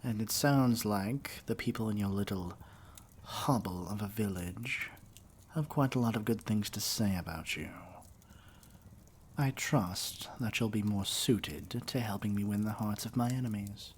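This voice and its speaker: A man playing a haughty, menacing evil king in an ASMR roleplay, speaking in a pompous, deliberate way full of disdain. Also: on the low side, slight background noise, lightly acted. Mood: scheming disdain. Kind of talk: theatrical ASMR roleplay monologue.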